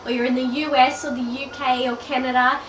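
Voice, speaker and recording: An Australian woman in her thirties speaks to camera with a high voice and a blocked, stuffy nose from a cold. She chats straight to the viewer like a coach. The sound is roomy and echoey, and she sits a little back from the mic with a faint hiss behind her. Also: flat delivery, a little fast. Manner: chatty, addresses the viewer directly. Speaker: online business coach. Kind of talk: direct-to-camera video message. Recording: roomy, a bit distant from the mic.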